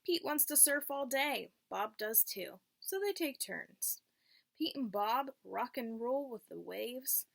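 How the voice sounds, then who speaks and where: Woman, higher-pitched, creaky edges, unhurried children's read-aloud, teacher-like, simple phrasing. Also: expressive, a bit bright. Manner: simple short phrasing, checks in with listeners. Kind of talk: picture-book read-aloud with questions to children.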